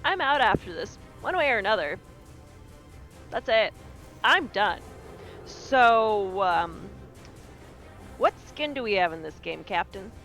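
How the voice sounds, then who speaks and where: A woman with a strongly creaky, mid-pitched voice plays a tabletop game. She talks casually in character, with faint music underneath. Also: very animated, takes it slowly, long pauses, a bit bright.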